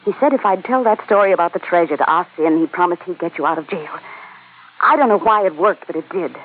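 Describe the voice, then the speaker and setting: Pleading femme fatale, tinny radio. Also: female voice, on the low side, lively intonation, fast talker. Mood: urgent persuading. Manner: earnest, dramatic. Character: scheming, pleading femme fatale, young woman. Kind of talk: 1940s radio melodrama acting. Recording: tinny old radio recording, faint noise.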